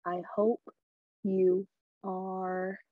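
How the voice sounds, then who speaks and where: A woman's voice: mid-range voice, little pitch movement, extremely slow, dry recording, narrow, tinny audio. A young woman hosting her own podcast alone, speaking bluntly and candidly with crude humor. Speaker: podcast host. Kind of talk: casual solo podcast talk. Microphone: podcast microphone.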